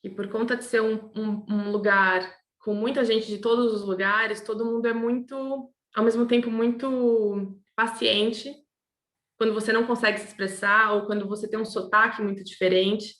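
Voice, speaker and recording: A woman's voice: on the high side, steady tone, moderate pace, fairly bright tone. A woman from Brazil, an architect, talking casually and thoughtfully with many fillers and restarts. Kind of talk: casual recorded talk to students. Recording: echoey room.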